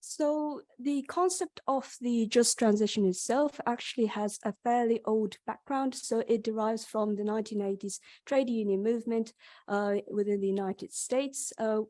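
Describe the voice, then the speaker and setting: A woman's voice: a little high, normal speed, warm tone, no echo. A young woman with a Yorkshire accent, a PhD student giving an academic conference presentation, with frequent ums and restarts. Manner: hesitant, many ums and restarts.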